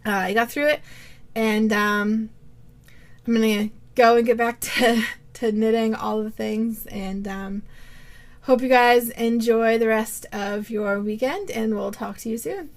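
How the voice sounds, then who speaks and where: A woman's voice: on the high side, fairly even intonation, a little slow. A woman with a cold, chatting casually as she hosts a yarn-dyeing video podcast, rambling with many fillers and restarts. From Vermont, USA. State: has a cold. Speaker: yarn dyer and podcast host.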